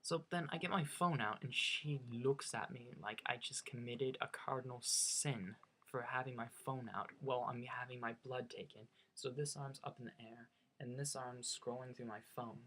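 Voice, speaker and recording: A young man with a faint Norwegian accent tells a personal story casually. He talks in a chatty, self-mocking way and often stops to restart his sentences. His voice sits at an ordinary pitch for a man. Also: steady tone, moderate pace, few pauses, fairly bright tone, a little hiss or hum, low-quality recording. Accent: slight Norwegian. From United States. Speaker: vlogger.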